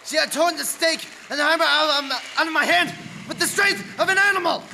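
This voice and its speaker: A man acts out a shaken young husband recounting horror in a radio play. His very high voice is strikingly sharp and intense, with faint noise behind it. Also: brisk, creaky, full character voice, rough recording. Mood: haunted. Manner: intense, dramatic. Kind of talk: radio drama narration.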